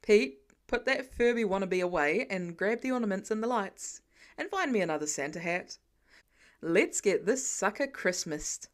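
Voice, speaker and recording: Woman voicing a firm, wisecracking dad, pitched lower. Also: expressive, moderate pace, lightly acted. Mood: playful, bossy. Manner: firm, casual, teasing. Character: firm, affectionate, wisecracking adoptive father, an adult man.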